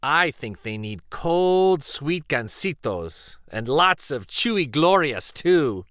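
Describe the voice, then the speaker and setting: A man narrates a picture book for children in a bright, forward voice. His delivery is deliberate and expressive, taking its time over each phrase, and the sound is dry and close. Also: a little high, no room sound. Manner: deliberate, animated storytelling delivery. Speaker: children's read-aloud storyteller.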